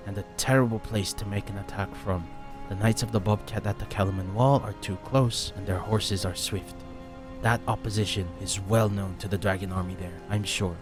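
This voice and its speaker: A man playing a straightforward, grave military strategist who talks in a blunt, measured way. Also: fairly low pitch, close to an everyday voice. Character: straightforward, grave male strategist, fisherman's son.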